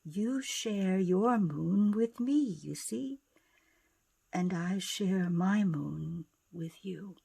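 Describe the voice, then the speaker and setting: A woman narrates a children's picture book in rhyming verse. She takes it very slowly, at a medium pitch, with dramatic expression and dips toward a hush. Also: gravelly, husky. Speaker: author and narrator.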